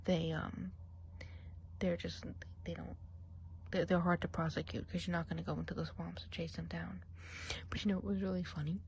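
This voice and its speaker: A woman's voice: fairly low pitch, little pitch movement, normal speed, long pauses, slight background noise, lightly acted. A woman playing a gossipy female forest witch in an ASMR roleplay, whispering and murmuring as she chats on. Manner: gossipy, mixes soft speech and whispers.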